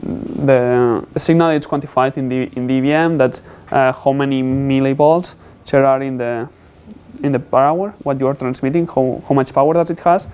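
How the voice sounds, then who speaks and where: A man giving a student technical presentation in halting, English. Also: mid-range voice, steady tone, darkish tone, clear, resonant tone. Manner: halting, non-native English phrasing. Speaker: student presenting a capstone project.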